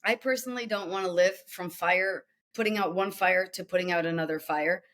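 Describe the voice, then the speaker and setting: A woman's voice: fairly low pitch, a little fast, fairly bright tone. A woman with an Israeli accent, a coach being interviewed, explaining her method with rhetorical questions and listed steps. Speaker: guest executive coach.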